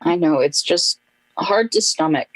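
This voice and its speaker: A woman's voice: on the low side, lively intonation, a little slow, no room sound, poor audio. A woman acting in a radio play as a grieving, regretful young friend.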